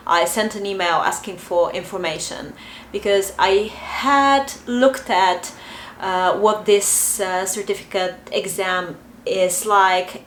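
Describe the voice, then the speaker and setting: A young adult woman with a Bulgarian accent, talking casually to camera and pausing often with fillers. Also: mid-range voice, slight background noise. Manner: chatty, hesitant, many fillers. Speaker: vlogger. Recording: echoey room, faint ambience.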